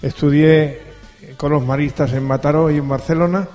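An older man, a retired professor, explaining his story in a measured way at a public event. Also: a little high, fairly even intonation, dark tone. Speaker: retired professor, senior student. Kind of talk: spontaneous testimony at a public event. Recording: hall reverb with faint music.

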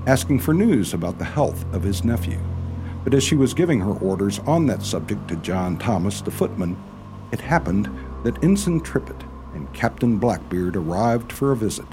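A man narrating a radio play as its announcer, reading scripted storytelling narration. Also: fairly low pitch. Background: music.

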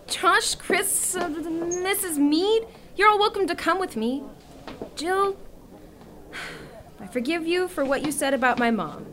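A woman's voice: extremely high pitch, expressive, slow, fairly bright tone, heavily acted. A young actress playing an exasperated, defiant teenage schoolgirl in a zombie radio drama, blunt and dramatic. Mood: defiant, hurt. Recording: clearly noisy background.

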